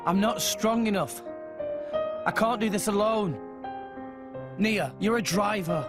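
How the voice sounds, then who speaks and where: A man voicing an earnest, determined adventurous teenage boy in a video game cutscene, speaking sincerely under loud music. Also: sits high, slow pace. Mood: earnest, worried. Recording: loud music over the dialogue.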